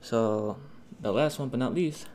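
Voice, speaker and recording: A man hosting a casual step-by-step software tutorial, talking informally and a little haltingly, as a host guiding viewers. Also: mid-range voice. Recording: steady noise behind the voice.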